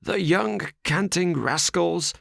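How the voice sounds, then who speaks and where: A man voicing a furious old farmer who shouts at his daughter's unwanted suitors. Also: sits high, takes it slowly, creaky, no echo, studio quality, pitched above the performer's own voice, full character voice. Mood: agitated. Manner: shouting, sardonic. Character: furious old male farmer, fierce father.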